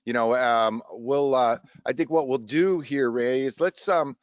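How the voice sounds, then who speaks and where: A man hosts an informal technical webinar in a mid-pitched voice. He rambles and halts as he goes. The sound is dry and slightly dull, like streamed call audio. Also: dry recording. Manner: halting, rambling delivery. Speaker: host of a show tech webinar. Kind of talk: casual tech discussion. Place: online video call.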